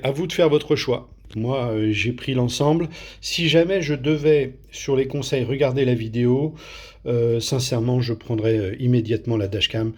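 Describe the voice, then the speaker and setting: A man's voice: medium pitch, a little mellow. A man giving a casual, unscripted product review in a hesitant manner, often searching for his words.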